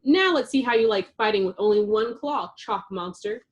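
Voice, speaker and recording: A woman's voice: mid-range voice, lively intonation, moderate pace, muffled recording, close to an everyday voice. A woman voicing a bossy, tough little girl superhero from a children's storybook, curt and punchy. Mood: bold.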